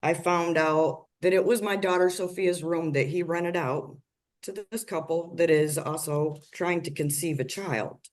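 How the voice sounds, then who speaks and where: A middle-aged woman giving a formal, deliberately worded account to a judge over a video call. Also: on the low side. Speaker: litigant bringing a motion in court. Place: large office room, on a video call.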